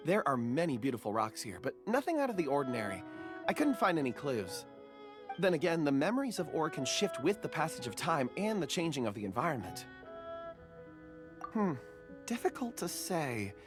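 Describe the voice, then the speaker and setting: Game casting: gentle scholarly man, hesitant and reflective, with a very varied lilt. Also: fairly high pitch, very animated, moderate pace. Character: mild-mannered thoughtful male stone expert, slightly hesitant. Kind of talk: video game character acting. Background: game music.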